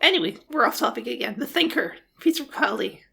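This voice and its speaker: A woman co-hosting a relaxed film podcast in a high voice thick with vocal fry and a husky edge. She sounds laid-back and wry, dropping dry questions into the chat. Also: fast talker. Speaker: podcast co-host. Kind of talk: casual film-review chat.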